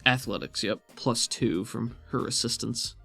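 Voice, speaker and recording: A man speaking as game master of a tabletop roleplaying game, describing scenes and talking rulings through conversationally, at a middling pitch. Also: unhurried, vocal fry, pushed and bright, faint music behind.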